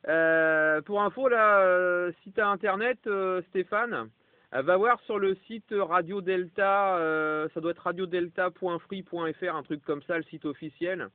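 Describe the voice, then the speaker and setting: A man's voice: high voice, a little slow, no room sound. A man with a French accent chatting casually as a radio operator, in colloquial phrases dotted with interjections. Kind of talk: casual two-way radio chat. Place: parked vehicle. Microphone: radio microphone. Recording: dry, phone-like two-way radio transmission.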